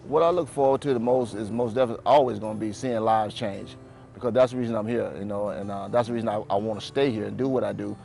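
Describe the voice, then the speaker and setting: Fast-talking man giving a heartfelt testimony. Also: fairly low pitch, gravelly, dark tone. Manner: heartfelt, repeats himself, lots of "you know". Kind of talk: testimonial interview.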